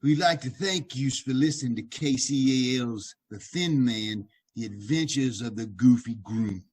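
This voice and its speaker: A man with a husky, medium-pitched voice hosts a live radio show. He makes introductions unhurriedly, with joking mock formality. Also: a little slow, darkish tone. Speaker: announcer, master of ceremonies.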